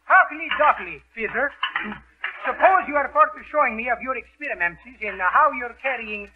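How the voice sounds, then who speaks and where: High-pitched Greek restaurateur, mangled English. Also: male voice, expressive, normal speed, background noise. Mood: genial, garbled. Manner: garbled grammar, malapropisms. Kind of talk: 1930s radio comedy acting. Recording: muffled, phone-like 1930s radio recording.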